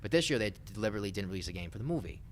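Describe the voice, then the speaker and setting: He is a low-voiced man who talks quickly in an informal, opinionated way. It sounds like a podcast panel chat, with some clear noise behind him. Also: expressive. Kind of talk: casual opinionated discussion.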